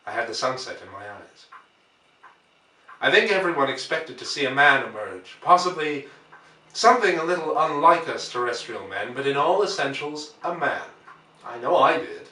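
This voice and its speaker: Bright-voiced man reading, roomy and distant. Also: medium pitch, expressive, moderate pace. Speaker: audiobook reader. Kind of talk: dramatic scripted narration of a classic novel. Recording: roomy echo, distant microphone.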